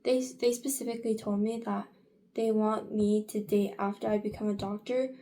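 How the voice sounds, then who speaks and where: A woman's voice: medium pitch, level tone, normal speed, mellow. A young woman in her late teens chatting casually in a get-ready-with-me vlog, rambling with many fillers and restarts. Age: young adult. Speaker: vlogger.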